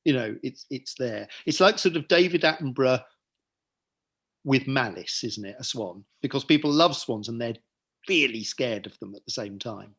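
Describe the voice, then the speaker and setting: A man's voice: mid-range voice, animated, normal speed, fairly bright tone, breathy edge. A man talking as an author at an online book launch, chatty and self-deprecating, with joking asides, over a video call. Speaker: author and guest. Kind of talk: casual book-launch conversation.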